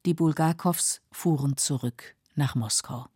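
A woman reading scripted biographical narration in a measured, matter-of-fact way, as a documentary narrator. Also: sits low, darkish tone, slightly rough, no room sound, studio quality. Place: dry studio.

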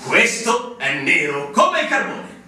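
An actor playing a keen, excitable fortress soldier in a theatrical radio drama, urgent and emphatic. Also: male voice, sits high, slow pace, full character voice. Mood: matter-of-fact. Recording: very echoey, distant stage recording, faint noise.

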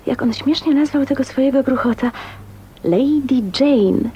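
A spirited, kind young spinster in a radio play. Her voice is high and earnest, with a gently defiant streak, on a recording with slight noise. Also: unhurried. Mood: musing. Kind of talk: radio drama acting. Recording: faintly noisy old radio recording.

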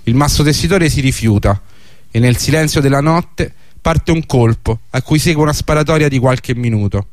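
A man's voice: medium pitch, moderate pace, no echo, studio quality. A man reading a scripted historical narration for a radio drama.

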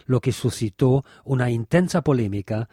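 A man reads scripted narration from a book in a formal, measured way. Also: medium pitch, animated, mellow, husky, no echo, clean studio sound. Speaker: narrator reading a book extract.

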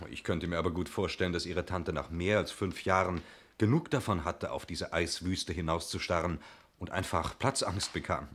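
A man's voice: sits low, moderate pace, a bit bright, breathy edge. A man acting in a 1960s German radio play as an affable, talkative chalet owner, courteous, chatty and a little evasive. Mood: smooth, explaining.

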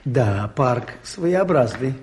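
An actor playing a mild, shrewd priest detective, hesitant and quietly inquisitive, in a 1980s Soviet radio play. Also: male voice, mid-range voice, expressive, extremely slow. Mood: curious. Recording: hissy old radio drama recording.

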